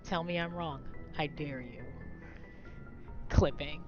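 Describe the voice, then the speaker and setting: A woman jokes with her chat in a loose, slangy, teasing way, her voice at an ordinary middle pitch. The sound is dull and lo-fi, with faint music playing behind her. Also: takes it slowly. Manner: playful, joking, slangy. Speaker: streamer. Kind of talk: casual stream chat. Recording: dull lo-fi stream audio with music.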